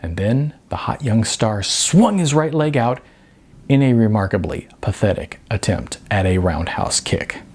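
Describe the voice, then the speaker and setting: A man's voice: lowish voice, animated, a little slow, a little mellow, husky. A man reading a novel aloud as a scripted audiobook narration.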